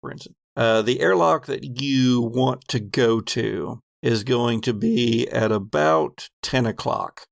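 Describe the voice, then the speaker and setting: A male game master with a gravelly, mid-pitched voice, recorded dry and close. He improvises descriptive narration for a tabletop game, measured and with frequent pauses. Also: no room sound.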